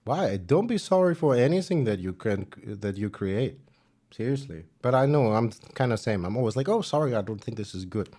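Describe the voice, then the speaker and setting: A man with a German accent chatting casually on a music livestream, relaxed and self-deprecating, with plenty of fillers. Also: medium pitch, normal speed.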